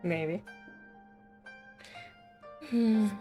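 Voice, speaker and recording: A woman's voice: mid-range voice, very slow, warm tone, faint music behind. A woman streaming a game with casual, playful, musing reaction chat.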